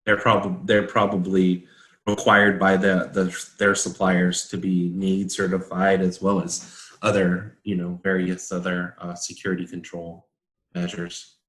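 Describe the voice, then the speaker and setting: A man with a deep voice explains things casually in a panel discussion. His delivery is halting and tentative, and the sound is echoey and roomy. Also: completely flat delivery, a bit bright. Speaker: consultant discussing a standard.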